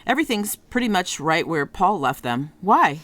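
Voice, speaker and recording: A woman's voice: lowish voice, very animated, normal speed, fairly bright tone. A woman playing a cordial, direct police chief in a contemporary radio drama. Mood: friendly, probing.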